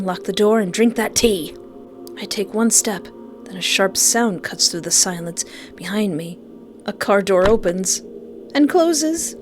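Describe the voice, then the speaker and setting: Expressive woman narrating a horror visual novel. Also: medium pitch. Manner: expressive, joking asides in exclamations. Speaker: video game player reading the story aloud. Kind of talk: dramatic read-aloud of a visual novel with playful reactions.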